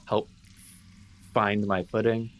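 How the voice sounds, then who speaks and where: Low-voiced man chatting through a game. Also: flat delivery, slow pace. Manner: rambling, peppered with "like". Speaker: tabletop game player. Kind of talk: casual improvised roleplay chat. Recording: music playing behind the voice. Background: clear background music.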